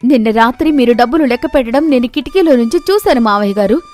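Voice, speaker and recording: Woman acting a worried, high-voiced village wife. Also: expressive, fast, a little mellow, faint music behind, lightly acted. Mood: pleading, reproachful. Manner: earnest, pleading. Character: caring, worried village wife who speaks up firmly. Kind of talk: animated moral-story voice acting.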